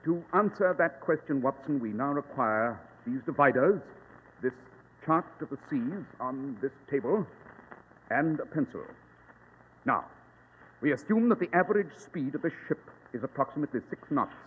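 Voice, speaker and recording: An actor playing a brilliant, precise English detective with a British accent and a formal, pompous manner in old radio style. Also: male voice, mid-range voice, animated, moderate pace, breathy edge. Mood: confident, deductive. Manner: pompous, exact, formal deduction. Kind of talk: 1940s radio acting. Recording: tinny, reverberant, noisy old radio recording.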